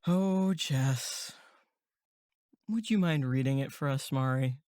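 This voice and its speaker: A woman acting in an audio drama as a sensible, sardonic young camp counselor with a measured, deadpan delivery. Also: a bass voice, dry recording, studio quality. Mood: sober.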